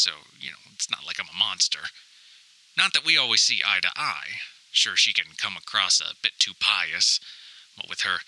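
Male actor as lost anxious son, wry, wordy, mock-dramatic, very bright tense tone, slightly low pitch, comic audio diary. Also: no echo, full character voice, rough recording. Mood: defensive, wry. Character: anxious, wry grown son lost in hoarder mother's house.

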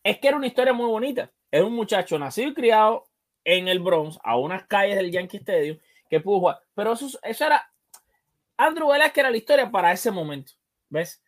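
A man's voice: high-pitched, expressive, brisk. A man hosting a sports show, discussing baseball casually and emphatically, often repeating phrases to drive a point home.

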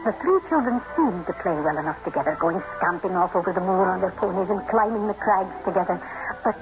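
An actress playing a devoted housekeeper who narrates a family's history in a measured storytelling manner for a 1950s radio drama. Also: female voice, fairly low pitch, expressive. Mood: reflective. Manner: measured, storytelling, deferential to her master. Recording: muffled old radio broadcast, loud music behind.

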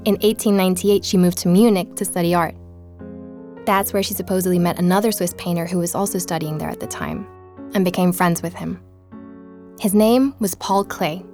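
A woman playing an earnest investigative journalist, narrating a podcast-style audio drama in a measured, inquisitive way. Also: fairly low pitch, fairly even intonation, dark tone, faint music behind, lightly acted. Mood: measured, informative. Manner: inquisitive, measured storytelling with rhetorical questions.

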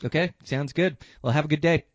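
An American man hosting a podcast, talking casually in a rambling, informal way, tired and a little hoarse. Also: a little high, brisk. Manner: rambling, informal, hesitant phrasing. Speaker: podcast host. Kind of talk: casual podcast outro monologue. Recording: echoey room, slightly dull sound.

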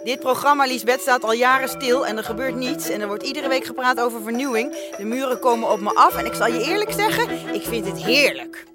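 A man's voice: very high-pitched, a little fast, hardly any pauses, over music. An actor playing a blunt, grandly dissatisfied male arts-radio colleague in a Dutch radio comedy, declamatory in manner. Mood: aggrieved.

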